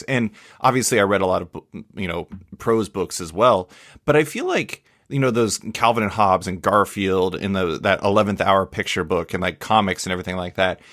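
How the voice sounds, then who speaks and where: A man's voice: lowish voice, a bit bright, dry recording, studio quality. A man hosting a casual interview podcast, thinking aloud in a rambling, tentative way as he works toward questions.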